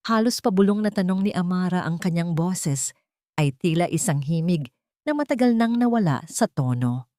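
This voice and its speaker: Low-voiced woman narrating a dramatic story. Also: dark tone, dry recording, clean studio sound.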